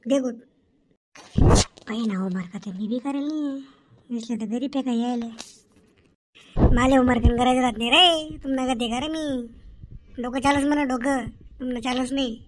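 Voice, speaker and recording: A high-pitched, cocky cartoon schoolboy played by a woman with a Khandeshi Ahirani accent. He teases and argues, a mischievous village kid who always talks back. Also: moderate pace, pauses often, close to an everyday voice, low-quality recording. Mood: teasing. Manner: cocky, teasing, argumentative. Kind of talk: comedy cartoon dialogue.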